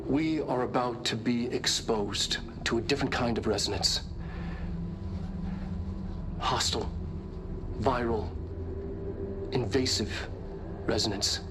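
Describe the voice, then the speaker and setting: An actor playing a worried man scientist on a recording, speaking haltingly and ominously. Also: medium pitch, background noise, close to an everyday voice. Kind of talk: game voice acting.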